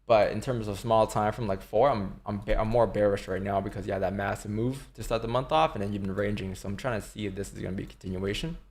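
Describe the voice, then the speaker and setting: A man's voice: fairly low pitch, quick pace, hardly any pauses, a little mellow. A man in his twenties, chatting casually on a livestream and answering chat questions, laid-back and swearing now and then. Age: 20s. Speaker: livestream day trader. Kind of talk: casual live-stream chat.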